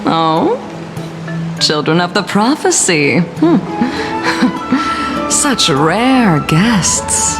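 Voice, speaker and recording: Sly, unhurried, expressive lady officer. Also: fairly high pitch. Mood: playful courtesy. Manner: teasing, smug, unbothered. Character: sly, nonchalant woman officer serving a feared ruler. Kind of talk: video game voice acting. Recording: music under the voice.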